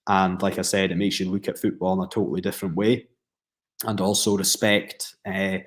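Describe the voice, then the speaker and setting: A Scottish footballer answers at length on a podcast, speaking in a steady, reflective way with many fillers and restarts. His voice sits low and barely moves in pitch. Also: brisk. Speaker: professional footballer guest.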